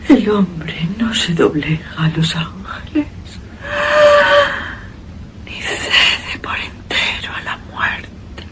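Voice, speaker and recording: An actress playing a feverish dying woman in a radio horror drama, pleading in a breathy, halting, failing voice. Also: fairly low pitch, pushed and bright, in a whisper, exaggerated voice. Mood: exhausted, whispering. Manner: gasping, halting, pleading delivery. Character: dying woman, feverish, anguished, defiant of death. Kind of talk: old-fashioned radio horror acting. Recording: noisy radio drama with heavy reverb.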